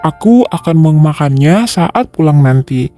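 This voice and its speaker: A woman's voice: low-pitched, lively intonation, measured pace, dark tone, faint music behind, pitched below the performer's own voice. A woman voicing a grumpy, tired father with a curt manner in a children's folktale. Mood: firm. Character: stern, grumpy, tired village father and husband.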